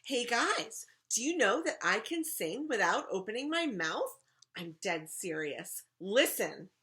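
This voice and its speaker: Woman voicing an excitable, boisterous little girl, swooping high. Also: unhurried, exaggerated voice. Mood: eager, showing off. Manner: excitable, insistent, theatrical. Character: little girl, boisterous, stubborn, eager to impress. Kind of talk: children's storybook read-aloud.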